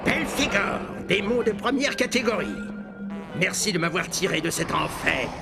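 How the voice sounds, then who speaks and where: An exasperated cartoon character with a high, swooping voice. He grumbles theatrically, and music plays loudly behind him. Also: expressive, normal speed, vocal fry. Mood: relieved, complaining. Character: exasperated father, and chatty grumbling demon of first rank. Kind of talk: animated cartoon dialogue.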